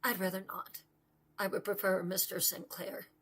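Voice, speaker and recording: A woman's voice: medium pitch, little pitch movement, moderate pace, a bit bright, breathy edge. A woman reading the lines of a prickly, defiant young woman, curt and cool. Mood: cold, defiant. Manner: curt, clipped.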